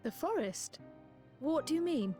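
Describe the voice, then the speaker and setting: High-voiced, composed young scholar. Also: female voice, very animated, warm tone, soft background music. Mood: thoughtful. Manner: measured, articulate, curious. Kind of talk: scripted fantasy game dialogue.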